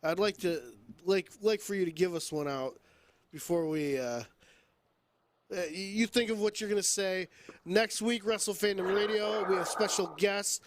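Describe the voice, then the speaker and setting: Male podcast host, high-pitched voice, playful teasing banter, close dry mic. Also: normal speed, no room sound.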